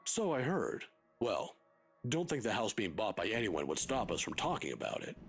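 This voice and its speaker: A man's voice: on the low side, wildly swooping pitch, normal speed, breathy edge, soft background music, heavily acted. An actor playing a kindly, patient old male professor in a video game, scholarly, pedantic and given to chuckling. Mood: enthusiastic storytelling. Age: older.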